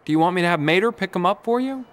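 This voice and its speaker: Lively, breezy young race car. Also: male voice, high voice, racing. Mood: friendly, curious. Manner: casual, confident. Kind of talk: animated game voice acting.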